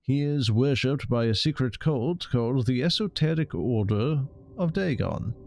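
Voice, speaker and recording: A man's voice: medium pitch, lively intonation, unhurried, creaky, darkish tone. A man streaming a game, commenting casually and reading text aloud.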